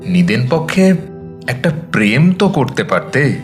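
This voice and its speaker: A man narrating a dramatised story in a chatty, expressive way, with conversational, teasing asides. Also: mid-range voice, unhurried. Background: background music.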